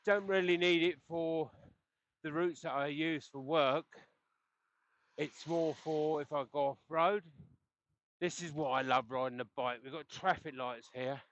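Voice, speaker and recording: A man's voice: fairly high pitch, fairly bright tone, dull audio. Chatty man on a helmet mic. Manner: rambling, chatty, chuckles often. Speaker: cycling vlogger. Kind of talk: casual chat while riding. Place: outdoors on a bike on the road. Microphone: helmet intercom headset mic.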